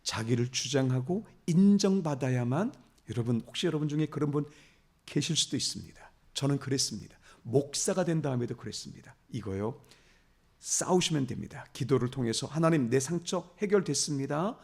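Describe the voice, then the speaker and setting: A man's voice: medium pitch, expressive, a little fast, creaky, a little mellow, breathy edge. A middle-aged man preaching as a pastor, telling a story about himself and then building to emphatic blessings. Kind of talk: sermon.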